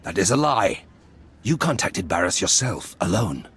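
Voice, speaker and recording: An actor playing a stern, disdainful Sith enforcer in a video game, with a raspy voice and cold, formal delivery. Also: male voice, low voice, big pitch swings, normal speed, fairly bright tone, full character voice. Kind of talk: cinematic game voice acting.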